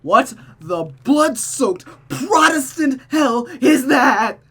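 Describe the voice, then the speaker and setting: A host playing through a visual novel and commenting on it with animated, exclaiming reactions, over a noisy recording. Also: high-pitched, very slow, breathy edge. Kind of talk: reacting commentary on a game playthrough.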